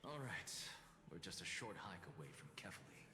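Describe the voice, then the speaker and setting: An actor playing a proud, aloof, weary scholar in a video game, curt and dry. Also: male voice, low voice, big pitch swings, moderate pace, echoey room, crowd noise. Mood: sardonic, doubtful.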